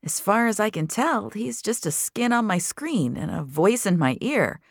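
A woman's voice: medium pitch, sing-song, moderate pace, gravelly, husky, no echo, clean studio sound. A woman narrating scripted fiction in the first person, in a confessional, intimate storytelling manner. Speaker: narrator of an audio fiction series.

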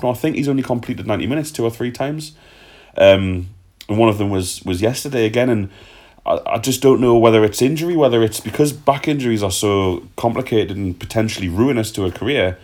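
A man's voice: medium pitch, a little mellow. A man hosting a casual football discussion in a blunt, sweary, joking way. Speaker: podcast host.

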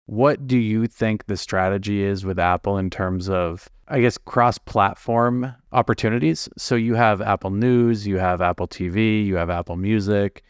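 A man's voice: on the low side, moderate pace, hardly any pauses, clear, resonant tone, dry recording, studio quality. A man hosting a podcast, asking direct, friendly interview questions. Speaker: podcast host. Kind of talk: interview questions and scripted outro.

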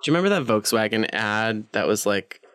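A man's voice: lowish voice, lively intonation, brisk, fairly bright tone, smooth, no room sound. A young man co-hosting a podcast, chatting casually in an upbeat way, laughing easily and rambling. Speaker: podcast co-host. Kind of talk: casual chat.

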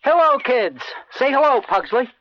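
A man's voice: very high-pitched, big pitch swings, normal speed. An actor playing a friendly, puffing jogger out with his dog in a 1970s radio spot. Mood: cheerful, exerted. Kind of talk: 1970s radio announcement dramatization. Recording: noisy, dull vintage radio recording.

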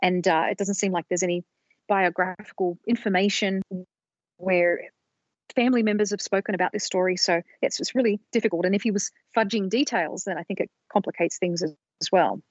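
A woman's voice: mid-range voice, fairly even intonation, brisk, no room sound. Woman explaining research, dull lo-fi sound. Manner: careful, explanatory, with restarts. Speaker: podcast co-host presenting research. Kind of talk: casual research chat. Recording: dull lo-fi dry recording.

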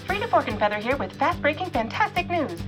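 A woman's voice: sits high, big pitch swings, quick pace. A woman playing a showy, chirpy small-town news reporter giving a mock newscast in an audio drama, with over-enunciated announcer delivery. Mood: bright, showy. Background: music.

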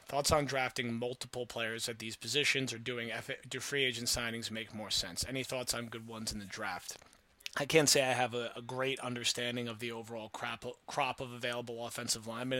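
Bright, forceful American sports podcast host, male. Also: mid-range voice. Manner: opinionated, analytical.

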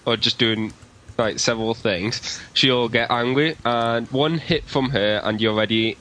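A man's voice: medium pitch, unhurried, pushed and bright, poor audio. A man on a podcast, explaining things in casual chat. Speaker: podcast participant. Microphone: Skype call.

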